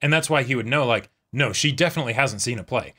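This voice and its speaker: Bright, forceful man bantering playfully. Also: medium pitch, lively intonation, fast talker. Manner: playful, interjecting, acts out imagined dialogue. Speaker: reaction show co-host. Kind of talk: casual banter about an anime episode.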